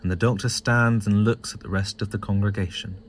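Low-voiced male drama narrator. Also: steady tone, fairly quick, a little hiss or hum. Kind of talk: scripted audio drama narration.

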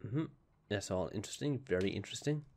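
A man in his thirties with a slightly raspy voice, talking on a headset mic as he plays a horror game and teases the characters. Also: on the low side, animated, quick pace, darkish tone. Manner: joking, teasing game characters. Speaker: gameplay streamer. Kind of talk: casual let's-play commentary.

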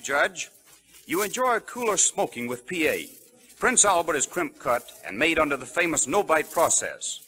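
Lively, bright American male radio pitchman, 1930s. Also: husky, low-quality recording. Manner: polished, scripted sales delivery. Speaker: radio commercial announcer. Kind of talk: 1930s radio advertising read. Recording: vintage 1930s radio broadcast.

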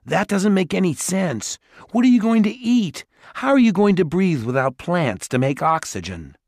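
A man voicing an anxious, know-it-all young boy in an audiobook, his voice high and strained. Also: expressive, moderate pace, darkish tone, dry recording, clean studio sound, close to an everyday voice.

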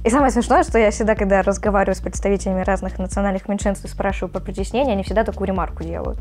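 A woman in her early twenties in a documentary interview, wry and animated, with filler words. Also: mid-range voice, racing, soft background music. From North Caucasus, Russia. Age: 20s.

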